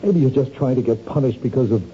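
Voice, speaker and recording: Radio drama husband, played by a man: exasperated, reproachful, mid-pitched, muffled with light hiss. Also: fast talker, dark tone, natural voice. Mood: urging, concerned. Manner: insistent, urgent, reproachful. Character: worried, exasperated husband of a troubled wife. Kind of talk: 1970s radio drama acting. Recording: muffled, noisy old radio recording.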